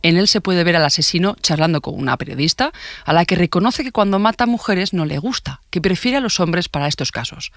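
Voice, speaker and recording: Male true-crime narrator, Spain accent, higher-pitched voice, fast delivery, scripted storytelling. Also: expressive, a bit bright, no echo. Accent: Spanish, from Spain. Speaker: podcast narrator.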